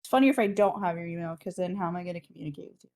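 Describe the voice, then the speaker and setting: A woman's voice: lowish voice, racing, dark tone. A young woman chatting casually on a podcast, playful, giggly and self-deprecating. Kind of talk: casual chat.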